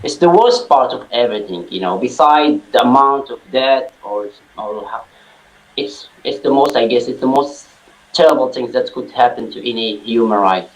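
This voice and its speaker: A man's voice: mid-range voice, lively intonation, normal speed, echoey room, rough recording. A man from Morocco on a video call, talking heartfeltly and searching for words. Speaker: interviewee.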